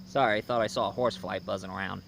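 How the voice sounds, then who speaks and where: A man's voice: on the low side, steady tone, very fast, creaky, fairly bright tone. Man reviewing casually, noisy outdoor recording. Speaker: host, film and book reviewer. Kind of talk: casual unscripted review commentary.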